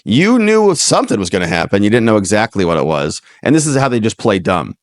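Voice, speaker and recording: A man's voice: lowish voice, big pitch swings, fast talker, no echo, studio quality. An American man hosting a news commentary show, reading reports and breaking off into casual, sarcastic, incredulous asides. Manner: acts out imagined dialogue, mocking and incredulous.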